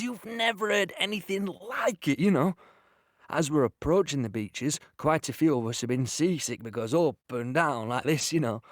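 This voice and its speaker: A man playing a young soldier in an audio play, telling his war story in a conversational, excitable, unbothered way. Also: medium pitch, no echo, clean studio sound, natural voice. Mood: excited wonder. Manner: conversational, storytelling with repeated "you know". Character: young British soldier recounting D-Day, excited, chatty and unbothered. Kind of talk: dramatic first-person monologue in audio play.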